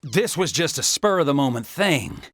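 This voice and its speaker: A man's voice: fairly high pitch, very animated, moderate pace, a bit bright, slightly rough, full character voice. A man playing an evasive, defensive suspect in a video game, hedging and stammering. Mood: flustered. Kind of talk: video game cutscene acting.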